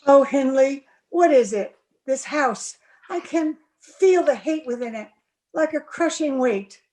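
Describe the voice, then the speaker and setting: A woman plays an unhappy, lovelorn young bride in a gothic radio play. Her voice is high and her pace is slow. She is pleading and emotional, in a theatrical old radio manner. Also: lively intonation, warm tone. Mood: distressed. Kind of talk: old-time radio acting.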